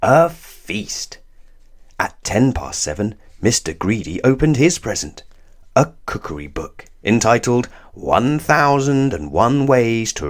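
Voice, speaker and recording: A man reading a children's story aloud in an expressive, deliberate way, drawing out words for emphasis. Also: lowish voice. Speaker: storybook reader. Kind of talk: scripted children's story narration read aloud.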